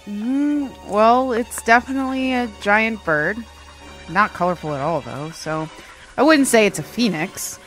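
A blunt, easily distracted young adventurer, played by a woman in an audio drama. The voice is mid-pitched, casual and wry, with faint music behind. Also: a little slow, a bit bright, natural voice, poor audio. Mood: observant.

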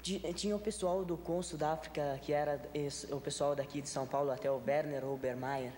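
A man's voice: fairly high pitch, steady tone, fairly quick, a little mellow. A young man from southern Brazil with a Rio Grande do Sul accent, a talk-show guest who answers eagerly and repeats himself. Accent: Rio Grande do Sul Portuguese. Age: young adult. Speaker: guest performer. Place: large TV studio with audience. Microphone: desk microphone. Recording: studio hall reverb, some background noise.

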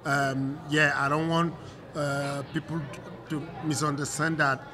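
A man's voice: a little high, little pitch movement, a little slow, a crowd in the background. A middle-aged man from Cameroon with a French accent, a coach talking in a casual interview, often repeating words as he searches for English. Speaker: MMA coach. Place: hotel room, indoors. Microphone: desk mic on stand.

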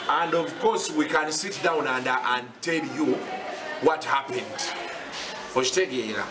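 A middle-aged man from Uganda speaking emphatically and indignantly in an interview. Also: fairly high pitch. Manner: emphatic, indignant, mixing two languages. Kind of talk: forceful statement to camera. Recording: roomy, distant, noisy field audio.

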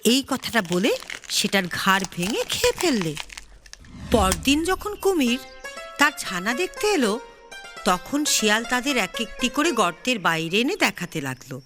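A woman's voice: fairly high pitch, very animated, a little slow. A woman narrating a children's story expressively, with music behind her. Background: background music.

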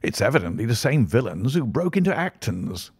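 A man voicing a hearty, unflappable country colonel with bluff, old-fashioned gentlemanly talk in an audiobook story. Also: medium pitch, sing-song, fairly quick, breathy edge, no echo, studio quality, voice pushed up. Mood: coolly concerned. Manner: bluff, old-fashioned upper-class phrasing.

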